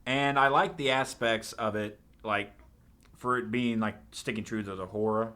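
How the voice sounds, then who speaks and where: A man's voice: on the low side, steady tone, normal speed, pushed and bright. A man giving casual, rambling opinions in a review chat, with plenty of swearing. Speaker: podcast co-host reviewer.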